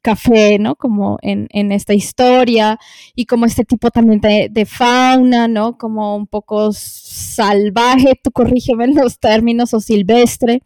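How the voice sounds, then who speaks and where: A woman talks casually on a podcast, pausing and circling back as she looks for the right word. Her voice sits a little high and sounds mellow rather than bright. The recording is close and dry, with no room sound. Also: steady tone, no echo. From lived in Colombia. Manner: hesitant, searching for words, many fillers.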